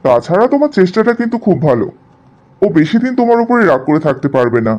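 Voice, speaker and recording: A man plays a middle-aged father-in-law in a family cartoon. He is kindly and reassuring, with a mellow tone. The audio is a little dull. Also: high-pitched, big pitch swings, fast talker. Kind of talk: cartoon dialogue.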